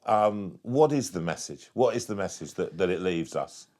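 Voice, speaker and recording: Low-voiced man hosting an interview. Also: lively intonation, moderate pace, a little mellow. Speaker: interviewer, host. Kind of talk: conversational interview.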